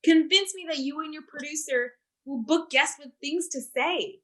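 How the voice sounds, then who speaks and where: An actress playing an earnest, accusing activist who challenges a talk show host, in a staged play reading on a video call. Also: female voice, high-pitched, moderate pace, fairly bright tone. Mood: insistent. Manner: accusing, insistent.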